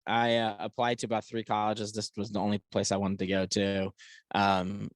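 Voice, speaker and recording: Low-voiced man with a Philadelphia accent. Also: monotone, moderate pace, no room sound. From Poland. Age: 40s. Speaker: podcast guest, e-commerce entrepreneur. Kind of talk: casual chat.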